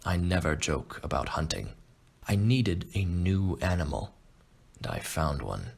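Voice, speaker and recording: Man acting a boastful aging Russian general, very low voice, husky, pompous, measured, audiobook character. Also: very animated, full character voice. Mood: smug. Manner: pompous, measured, self-satisfied.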